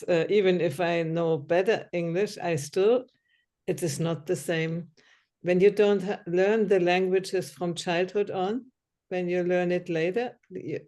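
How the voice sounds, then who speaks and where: A woman's voice: lowish voice, warm tone. A woman whose first language is German, chatting casually in a discussion group, hesitant and often searching for words. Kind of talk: casual conversation.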